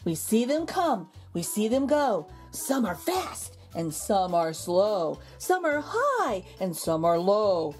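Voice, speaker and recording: A woman reading a picture book aloud to young children, with expressive, exaggerated intonation and drawn-out words. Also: mid-range voice. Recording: background music under the voice. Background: music.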